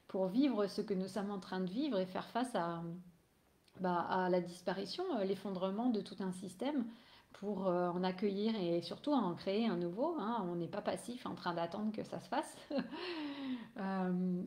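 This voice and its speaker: A woman's voice: medium pitch, warm tone, roomy sound. A woman with a cold who is losing her voice, an energy healer talking casually on a live stream, hesitant with many fillers and restarts.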